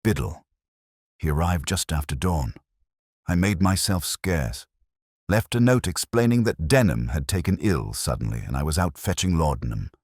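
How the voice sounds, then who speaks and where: A man playing a cornered, deep-voiced confessing impostor. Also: big pitch swings, normal speed, a little mellow, dry recording, studio quality, full character voice. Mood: uneasy admission. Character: cornered male impostor confessing his scheme, defensive.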